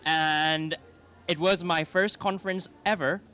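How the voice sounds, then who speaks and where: A man's voice: a little high, steady tone, a little slow, sharp tone. A young man from Thailand, an interview guest speaking fluently and upbeat. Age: young adult.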